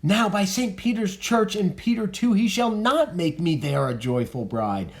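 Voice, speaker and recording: A man's voice: animated, a little slow. A man reading as a distraught young noblewoman. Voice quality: pitched-up, emphatic theatrical reading. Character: distraught young noblewoman hiding her feelings. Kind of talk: dramatic reading of verse.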